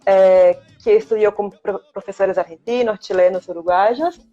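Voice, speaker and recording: A woman's voice: medium pitch, normal speed, mellow. Brazilian woman teacher, hesitant, tag questions. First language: Portuguese. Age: middle-aged. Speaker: guest Spanish teacher. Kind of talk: casual chat on a live stream. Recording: dull lo-fi stream audio, faint music.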